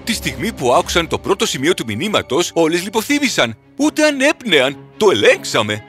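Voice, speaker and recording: A man's voice: fairly high pitch, big pitch swings, a bit bright, soft background music, voice pushed up. A man voicing an anxious, exclamatory old scientist in a cartoon fairy tale. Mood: alarmed. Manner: worried, exclamatory. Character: anxious old male scientist, flustered husband.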